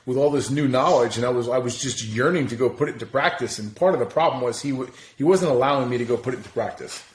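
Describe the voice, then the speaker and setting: A man's voice: medium pitch, fast talker, a little hiss or hum. A man in his forties chatting as a podcast guest, his voice sitting right in the middle of the usual range. He talks loosely, swears often and laughs at his own stories. The recording has a noticeable roomy echo. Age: 40s. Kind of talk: casual interview chat.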